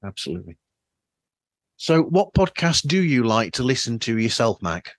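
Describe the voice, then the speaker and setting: A man's voice: mid-range voice, sing-song, moderate pace, dry recording. A man hosting a podcast, asking questions in a casual interview style through a flawed microphone. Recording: flawed microphone sound, dry.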